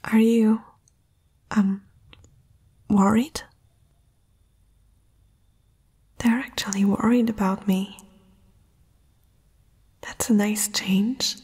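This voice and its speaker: Casting: young fantasy princess played by a woman, proud but uneasy, very breathy whisper, unhurried, intimate ASMR delivery. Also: mid-range voice, a little mellow, dry recording. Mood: tender, concerned. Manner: hesitant, slow, intimate. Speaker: ASMR roleplay performer.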